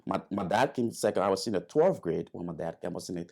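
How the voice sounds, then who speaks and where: Man, from Liberia, very deep voice, hesitant storytelling, interview guest. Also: lively intonation, gravelly, darkish tone. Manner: halting, many fillers and restarts.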